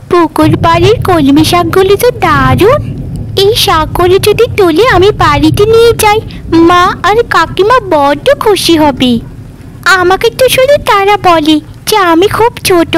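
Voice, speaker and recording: A woman's voice: extremely high pitch, a little hiss or hum, low-quality recording. A woman voicing an innocent, earnest little village girl in a cartoon, with a childlike manner. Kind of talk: Bengali cartoon dubbing.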